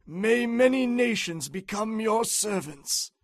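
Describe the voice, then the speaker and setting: A frail old father with a thin, quavering voice pitched well above the reader's own, as a man puts him on, drawing each word out slowly. He sounds solemn and worn, in a dry, close storybook reading. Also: animated, no room sound.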